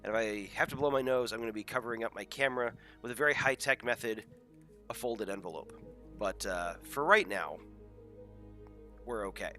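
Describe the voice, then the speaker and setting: Congested man streaming, bright forceful voice. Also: mid-range voice, fairly quick, faint music behind. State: congested from a head cold. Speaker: host of a live game stream. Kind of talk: casual live-stream chat with viewers. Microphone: headset.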